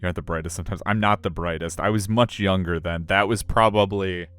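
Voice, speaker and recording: A man's voice: deep voice, steady tone, fast talker, fairly bright tone, smooth, no echo, soft background music. A middle-aged man live streaming a visual novel, switching between casual chat and narration. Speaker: game streamer.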